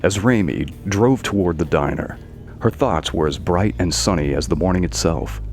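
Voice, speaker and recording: A man's voice: sits low, moderate pace, a little mellow, soft background music. A man narrating a scripted audio story.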